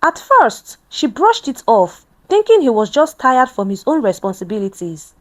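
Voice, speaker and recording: Expressive high-voiced woman storyteller. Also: moderate pace. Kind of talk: scripted dramatic story narration.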